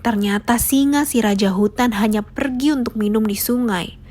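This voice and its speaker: Lady reading a kids fable, fairly high voice, bit breathy. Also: moderate pace, darkish tone. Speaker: storyteller. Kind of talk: scripted children's fable narration.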